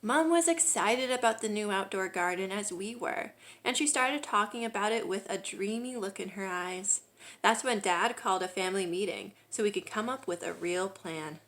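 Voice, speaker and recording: Woman doing a kids picture book read aloud, medium pitch, expressive storyteller delivery with lots of emphasis. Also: moderate pace.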